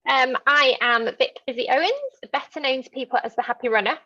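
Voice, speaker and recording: A woman's voice: high voice, fairly even intonation, fairly quick, pushed and bright. A woman, chatting casually as a guest in an interview, on slightly dull lo-fi call audio. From London, England. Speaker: interview guest, ultra runner.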